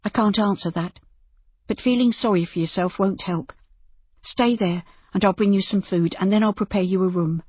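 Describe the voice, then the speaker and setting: A narrator plays a sensible young woman who runs an inn, in an audiobook. The voice is lifted high, earnest and reassuring, with an anxious, kindly edge. The sound is close, dry and a little muffled. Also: moderate pace, husky, no echo, lightly acted. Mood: gentle, practical. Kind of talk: audiobook character dialogue.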